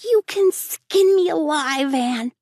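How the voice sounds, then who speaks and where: A woman's voice: high voice, animated, slow pace, darkish tone, no echo, clean studio sound, voice pushed up, exaggerated voice. A woman doing a frank, mischievous little boy. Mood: sobbing pleas. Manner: childlike, colloquial, pleading.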